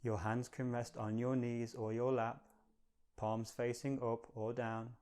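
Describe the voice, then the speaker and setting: Smooth, even-toned male meditation guide. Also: medium pitch. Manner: calm, measured, gentle. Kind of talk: guided meditation, slow and soothing instruction.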